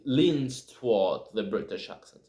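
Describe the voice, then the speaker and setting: Slow-talking Brazilian man in an echoey room. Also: lowish voice, expressive, warm tone. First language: Portuguese. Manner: halting, self-correcting, searching for words. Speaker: video creator. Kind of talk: casual talk to camera about language learning.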